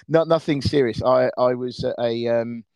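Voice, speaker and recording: A man hosting a podcast, his voice a bit croaky from shouting, chatting casually with plenty of fillers. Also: medium pitch, unhurried, no echo. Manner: chatty, hesitant with many fillers. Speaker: podcast host.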